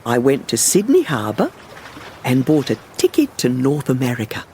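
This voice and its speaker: A man giving a careful, clearly paced first-person storybook narration for language learners. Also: mid-range voice, huge pitch swings, moderate pace, mellow, a little hiss or hum.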